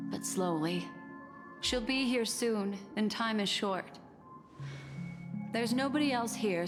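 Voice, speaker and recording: A woman voicing a composed, mysterious keeper of memories in a video game, measured and musing to herself. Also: medium pitch, close to an everyday voice. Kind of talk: video game character acting. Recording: long reverb, music underneath.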